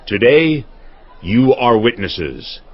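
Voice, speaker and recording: A man's voice: medium pitch, sing-song, slow pace, a faint crowd. A man playing a dignified, upright older Israelite landowner in a dramatised audio Bible, speaking formally and declaiming. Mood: formal, proclaiming. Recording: slightly dull audio under music.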